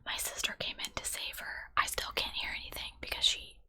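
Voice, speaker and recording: A woman who works in healthcare, chatting in a soft, rambling whisper full of fillers. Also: a little fast. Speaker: healthcare worker. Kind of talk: whispered ASMR chat.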